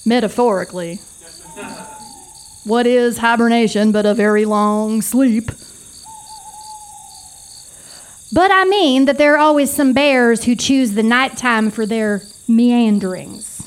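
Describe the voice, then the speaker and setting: A woman's voice: fairly high pitch, measured pace, natural voice. A woman acting a brash, earnest wildlife photographer in a live stage comedy, emphatic and self-important. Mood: matter-of-fact. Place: small theater, live performance.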